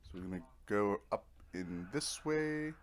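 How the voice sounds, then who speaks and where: A man's voice: fairly low pitch, expressive, unhurried. A man giving casual let's play commentary, rambling to himself in a wry, self-deprecating way. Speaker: gaming video host.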